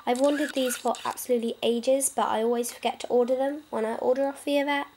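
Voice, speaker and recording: A woman with a fairly high voice talks through a pet haul in a relaxed, eager ramble. Her voice still carries the rough edge of a cold, and she fills her pauses with "um" and "like". She sits at home, recorded on the camera's own mic. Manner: chatty, enthusiastic, frequent "um" and "like". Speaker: pet vlogger. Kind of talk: casual haul video.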